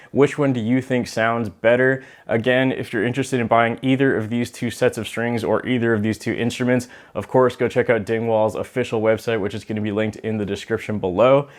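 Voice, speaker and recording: A man hosting a gear comparison video, talking casually and directly to the viewers. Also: mid-range voice. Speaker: host of a bass gear video series. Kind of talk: casual presenting to camera, product comparison.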